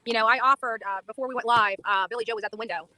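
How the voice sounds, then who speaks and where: A woman's voice: a little high, fairly even intonation, rapid-fire, bright, forceful tone, poor audio. A woman livestreaming an impassioned, insistent monologue outdoors on a street, repeating phrases for emphasis.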